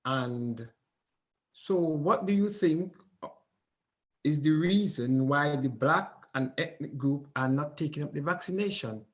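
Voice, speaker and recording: A man's voice: fairly high pitch, takes it slowly. A man hosting and moderating a question and answer session in a formal manner. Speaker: host and moderator.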